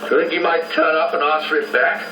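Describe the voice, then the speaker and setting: An actor playing a genial, polite upper-class English gentleman and peacemaker in a radio drama. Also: fairly high pitch, fairly even intonation, quick pace, distant mic, natural voice. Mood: placating. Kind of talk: 1990s radio drama acting. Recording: roomy radio copy, slightly noisy, off-mic.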